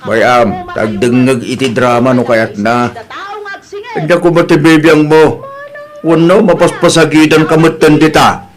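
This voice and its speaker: A man's voice: a little high, a little slow, close to an everyday voice. A man acting a dismissive, cautioning member of a household, with an Ilocano Filipino accent, in an audio drama. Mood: reluctant, dismissive.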